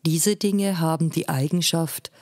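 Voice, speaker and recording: A woman narrating a literary story in a measured way, phrase by phrase. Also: sits low, steady tone, a little mellow, dry recording, studio quality. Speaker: audiobook narrator.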